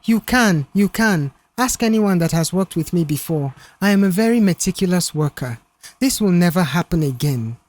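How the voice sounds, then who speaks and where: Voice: mid-range voice, lively intonation, moderate pace, darkish tone, no echo, natural voice. A narrator as a pleading young woman secretary. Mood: earnest, apologetic. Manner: pleading, earnest. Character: apologetic, desperate young woman office secretary.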